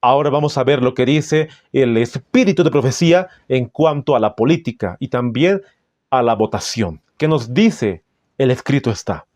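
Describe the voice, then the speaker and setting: Bolivian guy giving a sermon, medium pitch, solemn teacher type, really dry close mic. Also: lively intonation, moderate pace, darkish tone, no echo. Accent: Bolivian Spanish. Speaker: Adventist preacher. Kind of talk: sermon with scripture and book readings.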